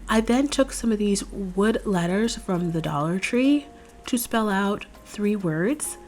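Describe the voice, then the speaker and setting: A young woman hosting a DIY craft video, explaining each step in a chatty, relaxed way, her voice a little hoarse from a cold. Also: medium pitch, slow pace, slight background noise. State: slightly hoarse, recovering from a cold. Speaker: DIY craft channel host. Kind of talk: casual step-by-step craft tutorial voice-over. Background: faint background music.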